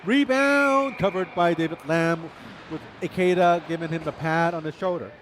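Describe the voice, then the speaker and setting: Chatty hockey commentator, noisy rink. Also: male voice, on the high side, moderate pace. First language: Chinese. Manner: chatty, self-deprecating, laughs between calls. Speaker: play-by-play commentator. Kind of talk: live ice hockey commentary.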